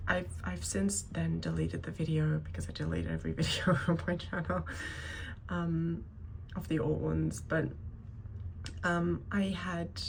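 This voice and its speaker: A thirty-something woman talks to her viewers in an unscripted vlog. Her voice sits a little low and is full of vocal fry. She rambles hesitantly, correcting herself as she goes, over faint background noise. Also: little pitch movement, unhurried. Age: 30s. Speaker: video creator talking to viewers. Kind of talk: unscripted personal vlog monologue.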